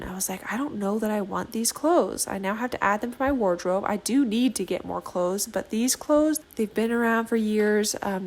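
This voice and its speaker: Woman, thirties, hoarse from a cold, chatty, self-deprecating, casual voice-over. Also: medium pitch. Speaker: vlogger.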